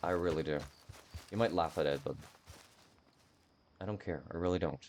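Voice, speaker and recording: A man giving casual, rambling gameplay commentary, self-deprecating and quick to swear, as he streams a video game. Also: low-pitched, darkish tone, slight background noise.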